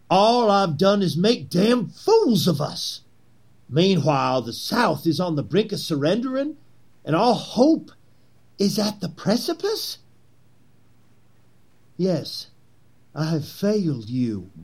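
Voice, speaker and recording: Man playing a fervent, swooping, husky plot leader. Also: sits high, exaggerated voice. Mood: anguished. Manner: dramatic oratory, pleading, emphatic pauses. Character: fervent, theatrical Confederate plot leader, persuasive and grandiose, 1860s. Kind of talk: period stage drama acting.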